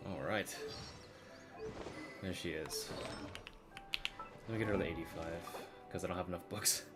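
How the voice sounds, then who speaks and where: A man's voice: deep voice, fairly even intonation, a little slow, over loud music. A man streaming a video game and chatting casually in slangy, informal language. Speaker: live streamer. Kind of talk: casual gaming stream chat.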